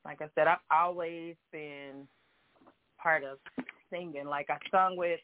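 A woman from Oklahoma, a guest singer calling in, talking in a soft, halting way with many fillers. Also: low voice, steady tone, slight background noise. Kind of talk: phone-in interview. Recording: tinny phone line, dry.

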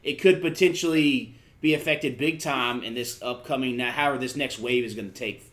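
A man hosts a casual podcast with an everyday mid-range voice. He laughs easily and asks his questions in a loose, halting way. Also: medium pitch, a bit bright. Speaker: podcast host. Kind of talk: casual interview chat.

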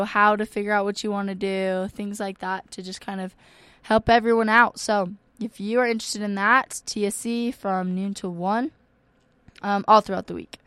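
A woman's voice: mid-range voice, smooth, dry recording. A young woman hosting a campus radio show, chatty and full of fillers, her voice worn and cracking now and then. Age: young adult. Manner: chatty, many ums and likes. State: losing her voice, occasional cracks. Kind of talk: casual radio announcements.

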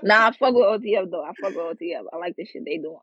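A man's voice: sits high, lively intonation, very fast, a crowd in the background. A young man in his twenties, a rapper guest, talking in a laid-back, slangy way and laughing easily. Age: 20s. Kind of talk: casual interview chat. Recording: dull, dry lo-fi sound.

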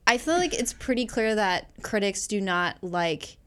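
A woman's voice: medium pitch, animated, measured pace, clear, resonant tone. A woman guest co-host discussing films casually, in a conversational and opinionated way.

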